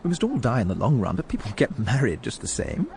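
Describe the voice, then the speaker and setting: An actor with a British accent playing a tender suitor, earnestly coaxing, in a radio drama. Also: male voice, mid-range voice, wildly swooping pitch, quick pace, creaky, darkish tone, slight background noise. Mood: tender persuasion. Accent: British English. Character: tender English suitor, earnestly persuading his beloved.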